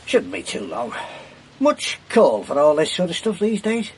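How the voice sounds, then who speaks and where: An actor playing a matey, brisk detective inspector in a radio drama, talking in clipped, colloquial phrases. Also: male voice, high voice, very animated, gravelly, darkish tone, a little hiss or hum, full character voice. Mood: casual, guarded.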